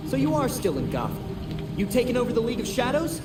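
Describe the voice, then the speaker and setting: Young American male game hero sidekick, earnest detective, very animated delivery, echoey with loud music. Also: extremely high pitch, quick pace, distant mic, exaggerated voice. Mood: probing. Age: young adult. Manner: questioning, earnest. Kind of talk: video game cutscene acting.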